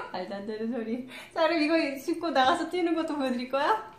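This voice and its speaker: A middle-aged woman vlogging casually at home, talking brightly to viewers and laughing often, recorded on a handheld phone camera held close. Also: high voice, animated, a little fast. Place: home living room, indoors. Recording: echoey room, faint noise.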